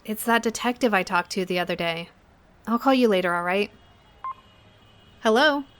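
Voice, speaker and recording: A woman's voice: medium pitch, moderate pace, slight background noise, lightly acted. A woman acting as a troubled, guarded young woman who stays polite, in naturalistic audio drama dialogue. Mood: polite, wary.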